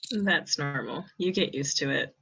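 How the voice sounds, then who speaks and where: A man's voice: a little high, a little slow, bright, forceful tone, breathy edge, heavily acted, poor audio. A man playing a caring, easygoing young biker with brief, reassuring lines in an amateur audio drama. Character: caring, easygoing young male motorbike rider.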